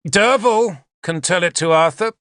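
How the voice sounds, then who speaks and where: A man's voice: high-pitched, unhurried, fairly bright tone, dry recording, clean studio sound, voice pushed up. A man voicing a sly, accusing traitor who declaims insistently, in an audiobook. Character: sly, accusing traitor, a former guard commander.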